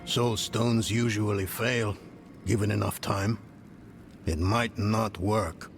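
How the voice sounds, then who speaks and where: Game role: brooding middle-aged scholar, low creaky voice, hesitant and reluctant. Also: male voice, steady tone, slow. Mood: doubtful. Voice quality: gravelly. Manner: hesitant, subdued. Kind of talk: video game voice acting. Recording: music behind.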